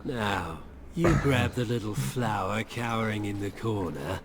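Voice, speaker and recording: Slow, sneering henchman. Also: fairly low pitch, expressive, heavy background noise. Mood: cold contempt. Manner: sneering, contemptuous. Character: callous, menacing human henchman guard.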